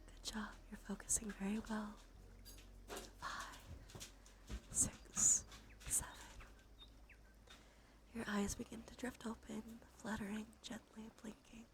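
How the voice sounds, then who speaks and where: A woman giving an ASMR guided visualization in a slow, soothing, gently coaxing manner. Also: medium pitch, little pitch movement. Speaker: ASMR streamer. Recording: music behind the voice. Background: music.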